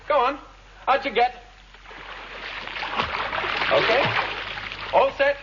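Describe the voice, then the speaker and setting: An actor in a vintage radio drama playing an agitated husband with an urgent, heated manner. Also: male voice, extremely high pitch, takes it slowly, exaggerated voice. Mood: playful, brisk. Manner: urgent, accusatory, emotionally heated. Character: agitated husband of a targeted woman, angry and fearful. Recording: muffled vintage radio recording, faint hiss.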